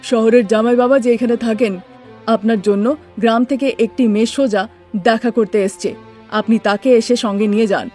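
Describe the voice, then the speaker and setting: A woman's voice: fairly high pitch, fairly even intonation, moderate pace, darkish tone, faint music behind, lightly acted. A woman voicing a formal TV news anchor announcing breaking news in a cartoon.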